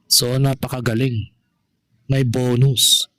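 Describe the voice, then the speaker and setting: Slow-talking man giving casual commentary. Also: medium pitch, level tone, sharp tone, dry recording. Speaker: vlogger commenting on online gossip.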